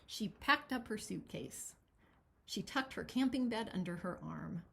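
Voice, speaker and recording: A woman reading a picture book aloud as its author, with expressive, dramatic storytelling. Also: mid-range voice, measured pace, creaky. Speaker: children's author reading their own book.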